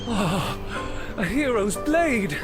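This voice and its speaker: A man acting a vain, flamboyant gentleman hero in a game fan dub, with pompous, theatrical and grandiloquent delivery. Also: high-pitched, sing-song, very slow, music in the background, exaggerated voice. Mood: exultant.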